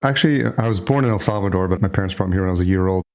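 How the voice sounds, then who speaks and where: American male podcast host, low voice, chatty and reflective interview delivery. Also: racing. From New York, United States. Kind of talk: conversational interview.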